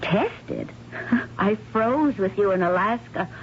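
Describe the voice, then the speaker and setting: An actress playing a devoted, anxious middle-aged wife in an earnest old-time radio drama style. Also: female voice, medium pitch, lively intonation, slow pace, a little hiss or hum. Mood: indignant, hurt. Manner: earnest, solicitous. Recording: muffled vintage radio recording.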